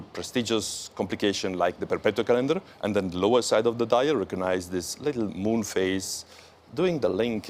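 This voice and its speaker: A man with a low voice presents luxury watches on a morning show. He speaks carefully and admiringly, pausing between phrases. Faint background noise sits under his voice. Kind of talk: live show product walkthrough.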